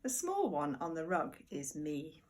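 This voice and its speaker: A woman reading a picture book aloud to young children like a primary teacher, expressive and deliberate, with chatty asides. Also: deep voice. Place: at home.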